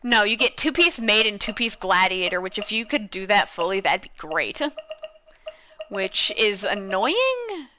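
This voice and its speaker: A woman's voice: mid-range voice, big pitch swings, measured pace, bright, forceful tone, slight background noise. A young woman chatting casually as she gives gameplay advice, rambling and thinking aloud. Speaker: gaming content creator. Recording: game music behind.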